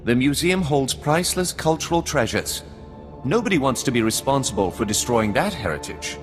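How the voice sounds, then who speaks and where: A man acts a composed noble schemer for a video game. His mid-pitched voice moves expressively, formal yet wry. It rings in a long hall over light music. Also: expressive, moderate pace, close to an everyday voice. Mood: wry, businesslike. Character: composed, calculating nobleman spymaster, courtly and dry.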